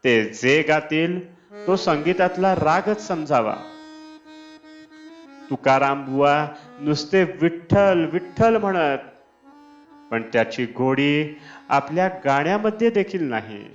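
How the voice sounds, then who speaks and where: A man reading a scripted spiritual discourse in a measured, deliberate manner. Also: fairly high pitch, animated. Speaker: reader of devotional discourses. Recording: dull lo-fi, Indian classical music behind. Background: Indian classical instrumental music.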